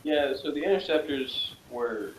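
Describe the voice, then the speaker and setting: A man's voice: a little high, lively intonation, unhurried. A man co-hosting a casual review chat, rambling in a chatty way with sarcastic asides. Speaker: podcast co-host. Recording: echoey room with faint noise.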